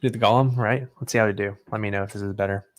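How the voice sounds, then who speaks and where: A young man casually commenting as he unboxes graded trading cards, understated and matter-of-fact. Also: very fast. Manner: low-key and understated, self-described as not excitable.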